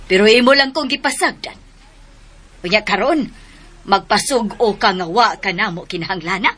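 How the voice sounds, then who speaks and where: A woman's voice: medium pitch, normal speed, fairly bright tone, natural voice. A woman with a southern Philippine accent playing a stern, exasperated mother, reproachful and commanding, in a radio drama. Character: stern, exasperated widowed mother and household mistress.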